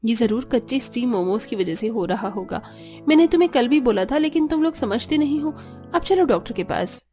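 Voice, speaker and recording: A woman's voice: a little high, expressive, fairly quick, warm tone, soft background music, close to an everyday voice. A woman playing a patient but exasperated young daughter-in-law in a Hindi cartoon, earnest and chiding. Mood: scolding. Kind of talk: Hindi cartoon story acting.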